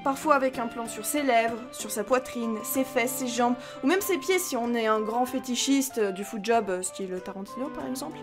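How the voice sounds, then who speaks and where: A woman with a somewhat high voice speaks over light background music. She delivers scripted explanatory commentary as a debate panelist, adding a dry, sarcastic edge. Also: moderate pace, few pauses. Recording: music behind the voice.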